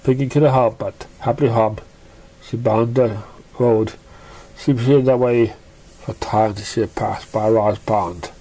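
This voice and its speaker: A storyteller narrating fan fiction expressively, with a somewhat halting, stumbling delivery. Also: medium pitch, steady tone, mellow, rough recording.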